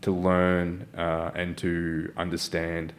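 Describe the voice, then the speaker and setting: Very deep-voiced man in his forties. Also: completely flat delivery, takes it slowly. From Malaysia. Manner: earnest, encouraging, often adding "right?". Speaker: podcast host. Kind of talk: casual interview chat.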